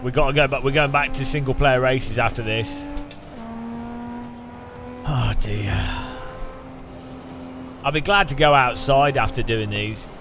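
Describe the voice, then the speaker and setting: A man casually commenting while playing a game, swinging from loud sarcastic bursts to low mutters. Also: unhurried, long pauses, music in the background. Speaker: gaming streamer.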